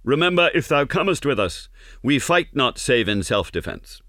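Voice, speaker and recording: A man's voice: medium pitch, big pitch swings, moderate pace, pushed and bright, dry recording, clean studio sound, pitched above the performer's own voice. A man voicing a stern, commanding adventurer who speaks firmly in formal, archaic storybook language. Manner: firm, archaic formal speech. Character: stern commanding male adventurer, leader, telling his tale.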